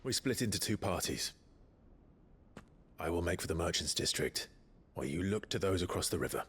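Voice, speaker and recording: Deep-voiced, grave warrior leader. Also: male voice, expressive, moderate pace, fairly bright tone, slightly rough, full character voice. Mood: urgent, resolute. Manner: terse, decisive, low-key. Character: grave, resolute male warrior leader, protective brother. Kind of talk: cinematic game dialogue.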